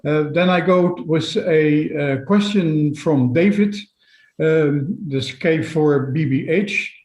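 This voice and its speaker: A man moderating a webinar, reading out audience questions and comments in a halting manner. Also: a little high, darkish tone. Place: indoors, online webinar. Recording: echoey room sound.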